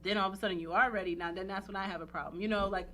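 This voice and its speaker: A woman's voice: medium pitch, steady tone, very fast, fairly bright tone. A woman in casual, candid chat as a talk show guest, speaking emphatically and rambling with frequent check-in phrases. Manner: emphatic, rambling, repeats "you know what I'm saying". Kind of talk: casual candid chat about personal life.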